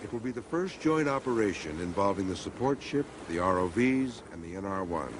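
A man's voice: low voice, animated, brisk, background noise. A man reading scripted narration for a documentary.

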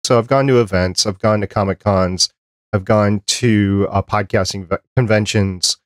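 Male interviewer in his fifties, fairly deep voice, informal and wry, recorded close and dry. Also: steady tone, moderate pace, no echo. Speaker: podcast host. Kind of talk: casual interview. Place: home office, video call. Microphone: close studio mic with headphones.

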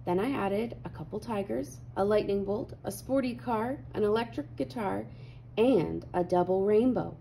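A woman reading a children's picture book aloud with animated, dramatic emphasis. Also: medium pitch, normal speed, creaky, a little hiss or hum, low-quality recording. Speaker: read-aloud host.

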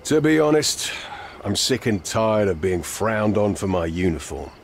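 An actor playing a weary, cynical older police inspector in a game, dry and sardonic. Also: male voice, fairly low pitch, sing-song, a little slow, vocal fry, slight background noise, full character voice. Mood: fed up. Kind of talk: dramatic game cutscene dialogue. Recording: faint night insect ambience behind.

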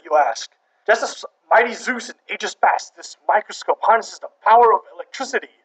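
A man's voice: extremely high pitch, distant mic, poor audio. Pompous old-time newsreel announcer, man. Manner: pompous, grandiose announcer delivery. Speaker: newsreel narrator. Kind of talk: 1940s newsreel narration parody. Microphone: voice-over.

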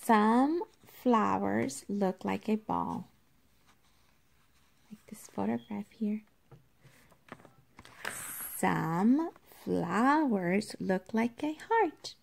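A woman reads aloud as a teacher to young children. Her pace is slow and deliberate, with a noticeable creak in a mid-pitched voice. She pauses to explain things in an instructive way, speaking directly to the children. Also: animated.

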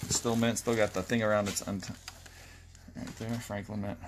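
A man's voice: fairly low pitch, flat delivery, moderate pace. A man hosting a casual unboxing in a cluttered storage room, upbeat and naming each item as he pulls it out. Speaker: auction host opening storage totes. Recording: noisy room background.

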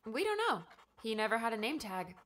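Man voicing a high, bright young woman. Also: sing-song, fairly quick, exaggerated voice. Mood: friendly. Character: kind, friendly young woman.

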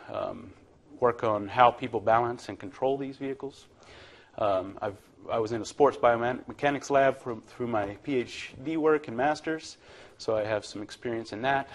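A man in his thirties from Virginia giving a relaxed, chatty university lecture to a classroom, with plenty of ums and uhs. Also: mid-range voice. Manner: relaxed, chatty, filler-heavy.

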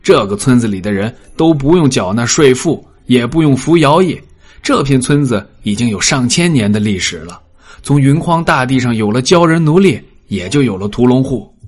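A husky-voiced steward from an audiobook drama, played by a man. He is polite and deferential, explaining things reassuringly at a middling pitch. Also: lively intonation, normal speed. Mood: amiably explaining.

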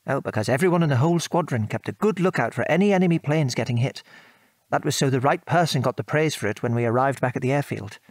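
An actor with a British accent playing a gentle middle-aged father, a former RAF man, reminiscing in an old-fashioned genteel manner in a radio play. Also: medium pitch, fast talker, dry recording, close to an everyday voice. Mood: amused, explaining. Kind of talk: radio drama dialogue, reminiscing.

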